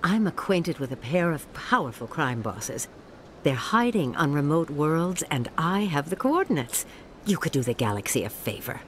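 A woman's voice: sits low, big pitch swings, normal speed. A woman playing a calculating underworld businesswoman in a video game, speaking formally, with composed persuasion. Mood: coaxing. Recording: faint ambient noise behind.

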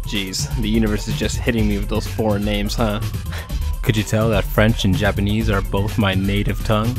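A man's voice: on the low side, flat delivery, normal speed. A man giving a casual, playful and enthusiastic scripted voice-over about music. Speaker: music video essayist.

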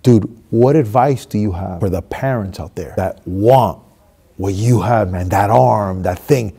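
A man in his thirties from Miami tells a motivational story, swinging between hushed and loud as he acts it out. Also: on the low side, measured pace, creaky, slightly rough. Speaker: motivational speaker. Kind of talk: storytelling monologue. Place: stage with red curtain, seated.

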